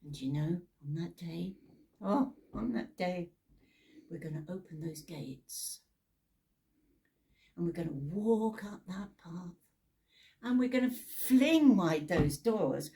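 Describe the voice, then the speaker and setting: A woman's voice: on the low side, expressive, slow pace, long pauses, warm tone. A British woman telling Bible stories in a chatty, informal way, with theatrical asides and rhetorical questions. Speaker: storyteller.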